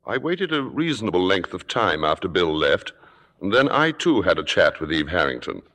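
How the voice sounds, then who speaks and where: An actor playing a suave, worldly theater critic who speaks in urbane, deliberate, formal phrases in an old-time radio drama. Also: male voice, low-pitched, very animated, a bit bright, exaggerated voice. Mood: smooth narration. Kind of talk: 1950s radio drama acting and narration. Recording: old radio broadcast, reverberant, music behind.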